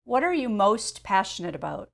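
A woman's voice: mid-range voice, sing-song, moderate pace, vocal fry. A woman interviewing a guest, asking friendly, inviting questions.

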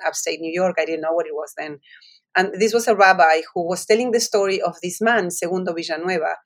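Argentine woman with a Spanish accent. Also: lowish voice. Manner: reflective storytelling with frequent restarts. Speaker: author. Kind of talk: interview answers.

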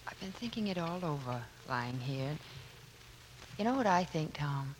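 A wounded, brave, tender woman in a 1940s radio drama. Her voice is low and soft, halting and subdued, on an old broadcast with faint noise. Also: expressive, rough recording. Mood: wistful, resigned. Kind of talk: 1940s radio melodrama acting.

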